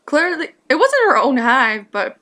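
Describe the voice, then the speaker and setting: Female reactor, slightly high pitch, informal, thinking out loud as she follows a story. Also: very animated, unhurried. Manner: musing, questioning aloud. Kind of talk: casual reaction commentary.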